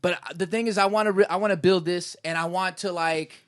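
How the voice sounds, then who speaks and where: A man's voice: high-pitched, steady tone, brisk, fairly bright tone, no room sound, studio quality. A man hosting a podcast in casual, joking chat, laughing often. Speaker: podcast host.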